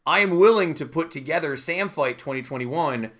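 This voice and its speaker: Male podcast host, loose and laughing, bright tone, voice going hoarse. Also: on the high side, animated, normal speed. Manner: chatty, laughing, lots of "uh" and "like". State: losing his voice. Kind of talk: casual chat.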